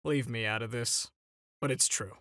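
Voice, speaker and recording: A man voicing a tired, grumpy adult male hero teacher in a comic dub, deadpan and understated. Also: medium pitch, normal speed, no echo, studio quality, natural voice. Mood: dry, grumpy. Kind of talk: animated comic dub voice acting.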